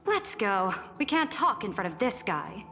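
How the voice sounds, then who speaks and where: A woman's voice: medium pitch, sing-song, unhurried, sharp tone, full character voice. An actress playing a disgruntled, grumbling townswoman in a fantasy video game. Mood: resentful. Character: disgruntled townswoman gossiping about her ruler. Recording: long reverb, music underneath.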